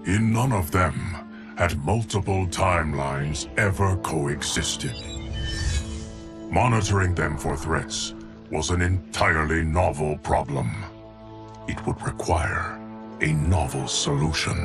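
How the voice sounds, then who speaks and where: A grave, ancient guardian in a fantasy fighting game. His low voice is creaky and very slow, each phrase stately and formal, with music behind. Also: heavily acted. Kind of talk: solemn scripted game narration. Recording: studio voice over music.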